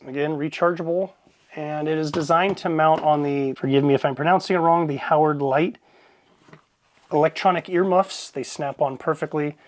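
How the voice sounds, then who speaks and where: A man giving a casual, plain-spoken product review, explaining things informally as he goes. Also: a little high.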